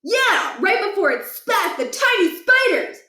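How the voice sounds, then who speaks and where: A woman voicing an excitable, argumentative schoolboy in a storybook, gushing and slangy with a whiny edge, in an echoey room. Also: extremely high pitch, measured pace, voice pushed up, heavily acted. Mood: excited. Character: excitable, argumentative schoolboy, dim but eager. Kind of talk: children's storybook character voice. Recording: roomy, echoing room.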